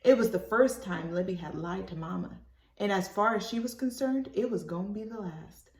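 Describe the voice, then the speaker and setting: A woman reads a children's storybook aloud expressively, stopping for animated asides to her young listeners. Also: medium pitch, normal speed, reverberant. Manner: animated, addresses listeners as friends.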